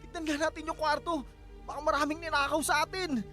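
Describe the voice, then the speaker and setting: Sharp, bitter Filipino husband. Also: male voice, very high-pitched, steady tone, brisk, faint music behind. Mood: alarmed. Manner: curt, sarcastic, accusing. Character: Emil, bitter jealous husband, sarcastic and accusing. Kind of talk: Filipino radio drama acting.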